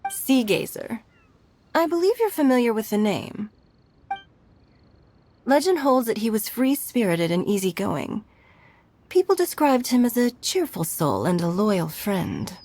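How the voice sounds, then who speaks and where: A poised, formal city leader. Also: female voice, fairly high pitch, very animated, darkish tone, a little hiss or hum. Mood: composed. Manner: formal, measured, elegant. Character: poised, courteous adult woman leader of a city. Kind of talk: video game voice acting.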